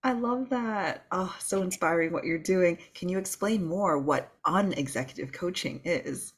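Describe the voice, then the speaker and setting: A woman hosts a podcast and encourages her guest warmly. Her voice sits fairly low, with a husky, breathy edge. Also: unhurried. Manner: warm, encouraging. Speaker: podcast host. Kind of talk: podcast interview.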